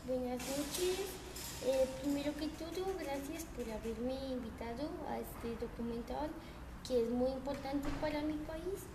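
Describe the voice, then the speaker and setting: A woman's voice: high-pitched, unhurried. A woman playing a polite female historian guest, speaking hesitantly with fillers. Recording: echoey, noisy homemade recording.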